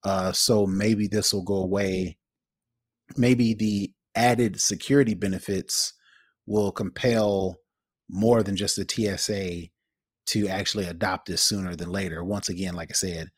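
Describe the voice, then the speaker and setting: A man with a low voice, recorded close and dry, co-hosts a tech podcast. He talks conversationally as he works through the news. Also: fairly even intonation, unhurried, dry recording. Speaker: podcast co-host.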